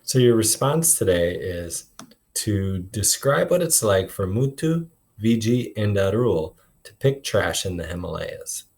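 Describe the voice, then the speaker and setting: Man, low-pitched, teacher, expressive read-aloud narration, explanatory asides, informal and instructive. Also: unhurried, gravelly. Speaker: teacher reading aloud to a class.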